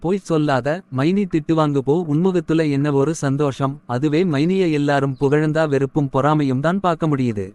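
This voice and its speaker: A man voicing a stern husband who moralizes in an animated family short. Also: fairly high pitch, a little fast, few pauses, dry recording, natural voice. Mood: accusing, stern. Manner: moralizing, instructive. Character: stern, preachy husband admonishing his jealous wife.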